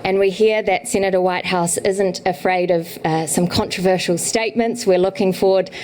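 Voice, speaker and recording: A woman with a New Zealand accent opens a conference session. Her voice sits low for a woman. She speaks in a measured, welcoming way as she introduces the program and the speaker. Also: steady tone, darkish tone, rough recording. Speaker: event host. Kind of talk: formal event introduction.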